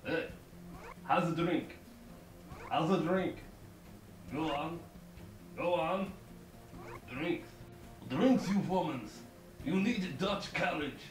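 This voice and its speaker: A man's voice: a little high, slow, long pauses, far from the mic. A man voicing a pushy male drinking buddy with broken, mock-foreign phrasing. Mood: goading. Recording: roomy with music behind.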